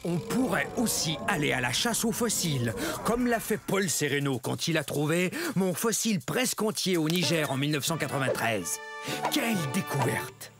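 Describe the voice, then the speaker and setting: An actor voicing a boastful but laid-back predatory dinosaur, chatting casually to viewers in an educational cartoon. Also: male voice, on the high side, animated, moderate pace, music in the background. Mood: casual, instructive.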